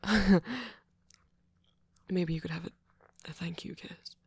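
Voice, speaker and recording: A man voicing a shy, gentle vampire boyfriend in an ASMR romance roleplay, stammering hesitantly in a soft, breathy voice. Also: sits high, steady tone, takes it slowly, no room sound, full character voice. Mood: nervous, affectionate.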